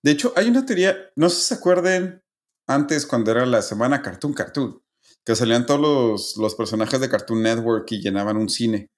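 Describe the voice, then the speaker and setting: A man's voice: medium pitch, animated, brisk. Joking Mexican man chatting casually. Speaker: podcast co-host. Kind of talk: casual chat.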